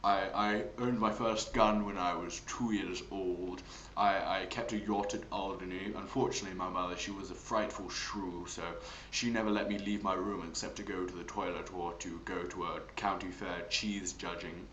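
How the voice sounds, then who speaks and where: A man's voice: deep voice, fairly even intonation, moderate pace, a bit bright, echoey room, a little hiss or hum, rough recording. Man doing a pompous upper-class English landowner. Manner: pompous, stammering posh delivery. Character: plummy, pompous English landed gentleman with a double-barreled name.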